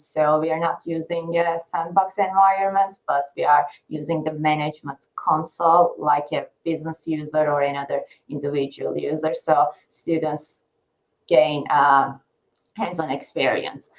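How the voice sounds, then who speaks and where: Woman, 30s, from Turkey. Low voice, explanatory webinar presenter, fillers. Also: low-quality recording. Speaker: guest speaker, assistant professor.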